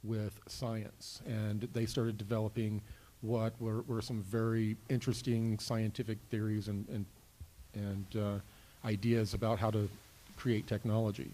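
Mellow man answering haltingly. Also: lowish voice, flat delivery, a little hiss or hum. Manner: halting, with repeated words. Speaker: panelist. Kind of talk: answering an audience question on a panel.

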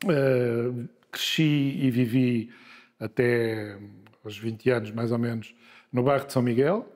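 A man in his sixties from Lisbon, telling his life story in a relaxed interview, pausing often with filler sounds. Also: mid-range voice. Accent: Lisbon Portuguese. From Portugal. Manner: unhurried, hesitant with many filler sounds and restarts. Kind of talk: conversational interview. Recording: long hall reverb.

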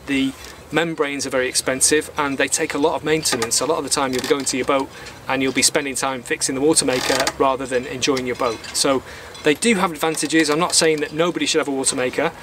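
Higher-pitched man presenting outdoors. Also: steady tone, fast talker. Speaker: sailing channel host. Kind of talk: instructional vlog to camera. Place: outdoors on a small boat in a marina. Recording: outdoor marina background noise.

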